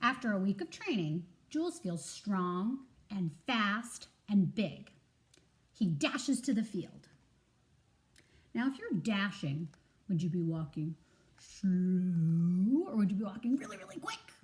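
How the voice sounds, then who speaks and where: Slow-paced woman teacher reading aloud. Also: mid-range voice. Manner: expressive, enunciated, prompting listeners with questions. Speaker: teacher and storybook reader. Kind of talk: children's read-aloud with teaching asides.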